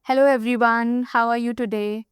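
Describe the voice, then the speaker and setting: Encouraging woman host with a clear voice. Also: fairly high pitch, steady tone, normal speed, no room sound, studio quality. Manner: encouraging, affirming. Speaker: podcast host. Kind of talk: hosting an interview.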